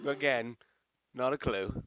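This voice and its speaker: A man's voice: medium pitch, takes it slowly, a bit bright, smooth, no room sound, poor audio. A man playing a vain, breezily charming stage actor with grandiloquent delivery in a radio play. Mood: breezy. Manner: grandiloquent, pompous. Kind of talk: theatrical radio play acting.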